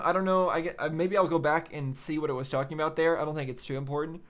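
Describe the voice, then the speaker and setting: A man giving casual off-the-cuff commentary as a reaction host, musing and halting as he thinks aloud. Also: on the high side. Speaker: host of a game reaction video.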